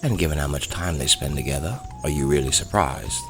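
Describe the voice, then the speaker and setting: A man's voice: extremely low pitch, animated, fairly quick, over music. A man playing an affectionate, easygoing husband and father in a fantasy audio drama. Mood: amused, tender.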